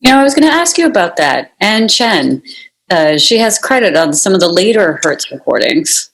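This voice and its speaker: A woman's voice: medium pitch, big pitch swings, moderate pace. A woman playing a curious, slightly hesitant interviewer in a naturalistic radio drama, talking casually.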